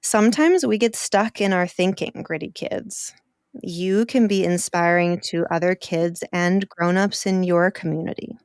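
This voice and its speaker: A woman hosting a children's podcast, talking to kids in a slow, emphatic, encouraging way. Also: fairly low pitch, clean tone, no echo. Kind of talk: enthusiastic talk to children.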